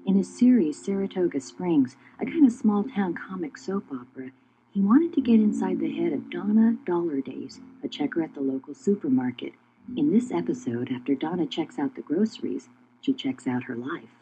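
A woman presenter reads scripted narration in a lowish register. Her tone is very dark and soft-edged, with ambient noise behind her. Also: lively intonation, moderate pace, rough recording.

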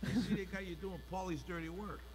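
A man's voice: sits high, steady tone, quick pace, darkish tone, faint music behind, close to an everyday voice. Man acting a sorrowful older mobster father's ghost. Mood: mournful, reproachful. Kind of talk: video game crime drama acting.

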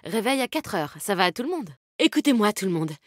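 An actress playing a tough, animal-loving female fighter in a video game, speaking in a brisk, confident, slangy way. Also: mid-range voice, very animated, no echo, clean studio sound. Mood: wry, rallying. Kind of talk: video game character voice lines.

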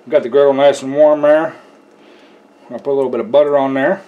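A man's voice: medium pitch, fairly even intonation, quick pace, phone-quality sound. Folksy man over a sizzling griddle. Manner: folksy, easygoing, talks while working. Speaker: cooking channel host. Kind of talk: casual cooking demo. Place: home kitchen at a griddle. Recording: loud sizzling griddle with hiss.

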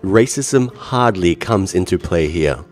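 A man reading scripted voice-over narration in a flat, assertive way. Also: low-pitched, a little slow, faint music behind.

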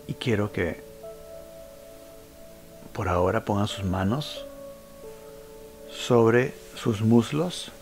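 A man leading a guided meditation, giving instructions in short phrases with frequent pauses. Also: lowish voice, lively intonation, creaky, a little mellow. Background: background music.

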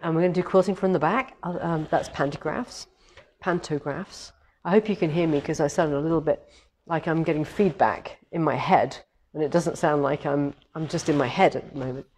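A cheerful woman in her fifties talks on and on about her week, with a slightly hoarse cold in her throat. Her voice sits on the low side for a woman, and a faint hiss runs under it. She sounds like she's speaking to a camera a few metres away in a big showroom. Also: brisk, a little mellow. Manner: chatty and cheerful, rambling with many ums. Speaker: quilting shop owner hosting a live demo. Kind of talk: casual live-stream chat. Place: large quilting showroom with longarm machines, indoors. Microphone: camera on a tripod a few metres away.

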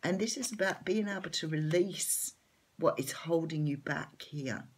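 A middle-aged Australian woman with a hoarse, croaky voice, chatting casually as she reads tarot cards at home. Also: low-pitched, unhurried. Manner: chatty, open, laughs lightly. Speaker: tarot reader. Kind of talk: casual tarot reading to camera. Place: home room at a table.

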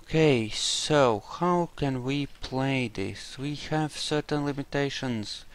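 A man's voice: medium pitch, slow pace, clean tone. A man giving casual, role-played gameplay commentary, informal and sweary, often muttering to himself as he thinks aloud. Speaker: let's play host.

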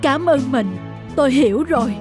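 A man's voice: extremely high pitch, lively intonation, moderate pace. A young peasant husband from a cartoon folk tale. He is stubborn and lazy, grumbles and exclaims, and music plays under his voice. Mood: remorseful. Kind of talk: animated folk tale acting.

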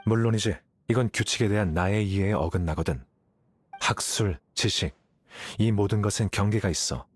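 Game role: rational young man of learning, low register, aloof, unhurried logic, matter-of-fact delivery. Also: breathy edge. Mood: cool, firm. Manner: measured, detached, matter-of-fact. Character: aloof rational young male scholar, coolly self-assured. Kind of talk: anime game voice acting.

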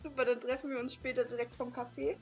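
Young female let's-play host, somewhat high voice, giggly, light music underneath. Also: little pitch movement, quick pace, a little mellow. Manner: giggly, reads lines playfully. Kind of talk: reading visual novel dialogue aloud with joking asides.

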